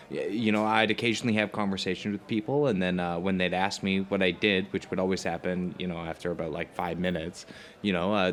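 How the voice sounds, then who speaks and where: Man playing a halting, anxious, self-deprecating friend. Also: on the low side, lightly acted. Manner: halting, stammering, rambling with many hedges. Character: anxious, self-deprecating New Yorker who loves comfort. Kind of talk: naturalistic audio drama dialogue.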